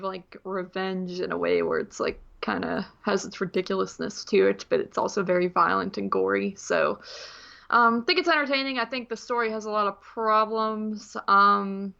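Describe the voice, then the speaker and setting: A woman's voice: mid-range voice, creaky, bright, forceful tone. A woman co-hosting a movie podcast, giving her opinions in a casual, conversational way. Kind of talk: casual film review chat. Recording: slightly dull, lo-fi audio.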